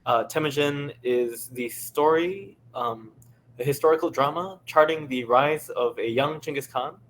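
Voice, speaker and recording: A man speaking casually as a panel guest, with a halting, hesitant delivery. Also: mid-range voice, a bit bright, a little hiss or hum, rough recording. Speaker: podcast creator and panelist.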